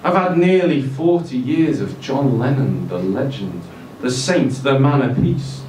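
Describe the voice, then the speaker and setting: A man's voice: medium pitch, animated, measured pace, a little mellow, close to an everyday voice. A man voicing a grumpy, sardonic dead rock legend from Liverpool, with a nasal Scouse accent and sweary ranting, in a live story reading. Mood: bitter. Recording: roomy distant live-event recording, noisy.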